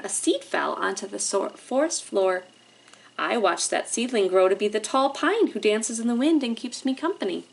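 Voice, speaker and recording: A woman's voice: on the high side, rough recording. A woman voicing a proud, contented old rock who reminisces expressively in a children's storybook. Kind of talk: children's storybook read-aloud.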